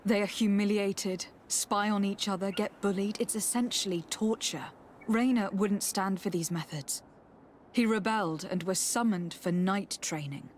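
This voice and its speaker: A woman's voice: mid-range voice, slight background noise, lightly acted. A woman as a composed, probing noble investigator. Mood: grave. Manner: calm, measured, probing. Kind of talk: dramatic video game dialogue.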